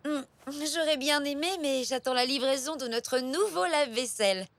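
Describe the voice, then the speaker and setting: A woman playing a gentle, friendly mother zebra in a children's cartoon, with a soothing, kindly delivery. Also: high-pitched, normal speed, vocal fry, no echo.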